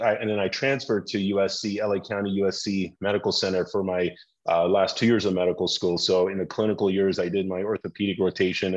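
A middle-aged man from Iran, an orthopedic surgeon, telling his story as a podcast guest in a measured way with frequent restarts. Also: lowish voice, highs cut off.

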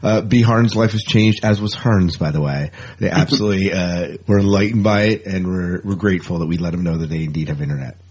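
A man's voice: deep voice, fairly even intonation, brisk, hardly any pauses, a little mellow, breathy edge. A man co-hosting a casual sci-fi fan podcast, rambling and jokey, often repeating words for emphasis. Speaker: podcast co-host.

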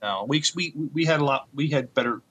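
A man co-hosting a podcast, chatting casually about TV in an agreeable, halting way. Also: medium pitch, lively intonation, background noise. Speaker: podcast co-host. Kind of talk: casual TV review chat.

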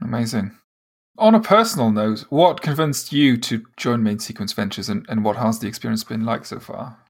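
A man interviewing a guest, asking quick, upbeat questions. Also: medium pitch, vocal fry.

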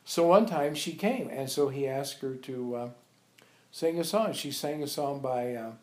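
A man's voice: medium pitch, unhurried. Older man storytelling in an echoey room. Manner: animated, acts out quoted dialogue. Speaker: monk and abbot recalling his teacher. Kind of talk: informal storytelling to a small group. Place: echoey room with a few visitors.

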